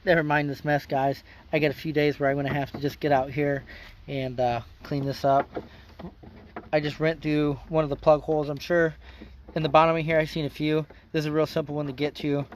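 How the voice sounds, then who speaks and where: A man hosting a car vlog outdoors, explaining his install in a plain-spoken, folksy way, his voice a bit hoarse. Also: a little high, slight background noise. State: slightly hoarse. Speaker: car customizing channel host. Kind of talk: casual how-to vlog.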